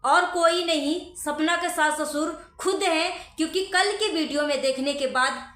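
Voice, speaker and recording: A woman with a very high, sharp voice speaks as a vlogger to her viewers. She comments earnestly and emphatically, often repeating her points. The sound is roomy and echoing. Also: a little slow. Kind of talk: opinionated commentary addressed to viewers.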